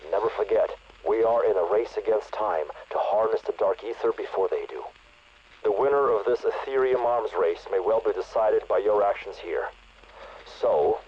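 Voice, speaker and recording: A man's voice: lowish voice, husky. A man playing a stern, earnest special operations leader briefing troops over the radio in a game. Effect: radio transmission. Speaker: commanding officer. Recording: muffled radio with faint noise.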